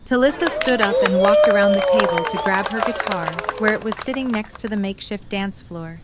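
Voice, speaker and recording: A woman's voice: medium pitch, brisk, very noisy. A woman narrator reading scripted story narration for an audio drama. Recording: loud music and crowd behind. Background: loud music, cheering or chattering crowd.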